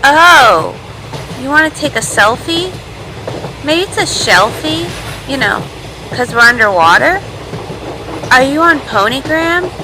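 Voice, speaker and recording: A woman's voice: high voice, animated, slow pace, long pauses, noisy background. A woman voicing a sociable, wry young female cartoon pony princess with a breezy, teasing way of talking. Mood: chirpy, wry. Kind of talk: animated cartoon voice acting.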